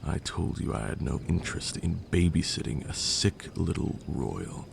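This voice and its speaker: A man's voice: rumbling low, expressive, moderate pace, vocal fry, reverberant, noisy background, full character voice. A man playing a haughty but caring vampire lord in an ASMR roleplay, speaking formally and sternly. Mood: stern, concerned.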